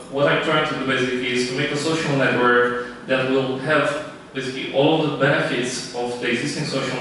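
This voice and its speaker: Hesitant man, flat, echoey room. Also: mid-range voice, slight background noise. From Romania. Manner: halting, many fillers and restarts. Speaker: startup founder pitching. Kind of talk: live startup pitch. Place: event room. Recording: echoey room, distant mic.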